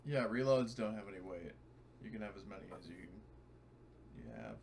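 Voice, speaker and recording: A man's voice: fairly low pitch, sing-song, fairly quick, vocal fry, a little mellow. A man in casual, sweary chat as a tabletop game player, with noticeable background noise on the microphone.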